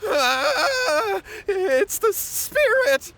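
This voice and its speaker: A man's voice: very high voice, sing-song, very slow, sharp tone, slight background noise, exaggerated voice. A man playing a meek, anxious, easily frightened young man in a video game. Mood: terrified. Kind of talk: scripted video game voice acting.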